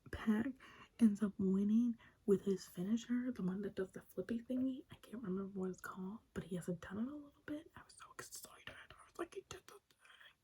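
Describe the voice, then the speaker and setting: A woman's voice: mid-range voice, a little fast, mellow, a little hiss or hum, rough recording. A young woman whispering a chatty, enthusiastic recap of a show in ASMR style. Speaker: wrestling recap host.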